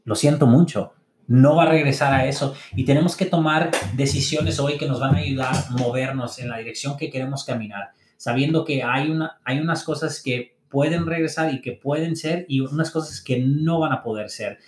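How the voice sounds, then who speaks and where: An earnest man delivers a motivational podcast monologue in an echoey room. He keeps stopping on fillers and restarting his words, yet his tone stays encouraging. His pitch sits in a middle range. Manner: halting, frequent "eh" fillers and restarts. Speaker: podcast host.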